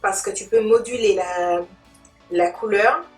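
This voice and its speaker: A woman's voice: medium pitch, measured pace. A woman giving a casual makeup tutorial, chatting playfully in slang and addressing viewers like friends. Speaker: beauty vlogger demonstrating makeup. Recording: roomy, distant mic, music behind.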